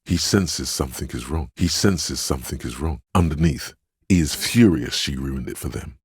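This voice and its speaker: A man voicing a sensitive inner voice in a video game, narrating other people's feelings in a measured, gentle, literary way. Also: extremely low pitch, lively intonation, slightly rough, dry recording, studio quality, heavily acted. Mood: concerned, observant.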